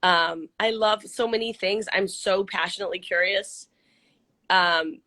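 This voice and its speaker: A middle-aged woman from Central California, a little hoarse, talking casually and upbeat with lots of ums and restarts in an interview. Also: mid-range voice, measured pace, bright, forceful tone. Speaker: interview guest, nonprofit CEO. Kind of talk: casual video-call interview.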